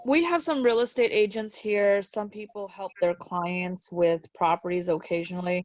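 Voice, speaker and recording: A woman co-hosting a webinar over a video call, relaying audience questions in a polite, measured way. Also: medium pitch, steady tone, a little mellow, no room sound. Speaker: webinar co-host. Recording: dry, dull video-call audio.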